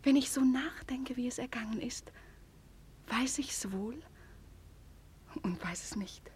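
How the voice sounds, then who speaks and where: An actress playing a spirited young woman in love and torn between two men, theatrical and breathy, often dropping to a near-whisper, in a vintage German radio play. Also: on the high side, slow, a little hiss or hum. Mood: wistful, enamoured. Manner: theatrical, emotionally expressive. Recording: vintage 1949 radio recording.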